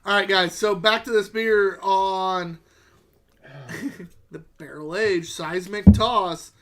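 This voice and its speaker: A man's voice: sits high, slow pace, a bit bright. A man co-hosting a podcast with casual, sweary banter, steering the talk. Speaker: podcast co-host.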